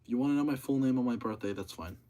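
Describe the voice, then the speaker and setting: A seventeen-year-old young man talks to his livestream chat in a flat, monotonous voice. He rambles with many fillers and says the same thing again and again. His pitch sits in the middle of the usual range for a man. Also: very fast.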